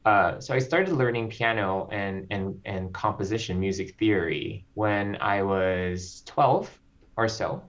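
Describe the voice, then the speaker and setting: A man from the Philippines, a composer guest speaking slowly and reflectively, with frequent ums. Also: lowish voice. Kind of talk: interview conversation. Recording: slightly dull lo-fi audio.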